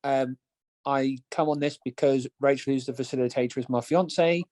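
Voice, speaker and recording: A man from Wales introducing himself on a video call, speaking in a slow, hesitant, plain way. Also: medium pitch, fairly even intonation, a little mellow, no echo.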